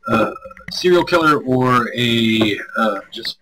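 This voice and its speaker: A man's voice: mid-range voice, lively intonation, slow, a crowd in the background, low-quality recording. A man streaming as a co-host, chatting casually with viewers in a rambling, self-deprecating way.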